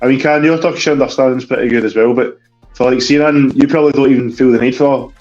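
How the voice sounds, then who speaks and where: A man co-hosting a casual podcast in a relaxed, easygoing way. His voice sits at a middle pitch. The audio is dull and lo-fi. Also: fast, soft background music. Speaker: podcast co-host. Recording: dull lo-fi sound.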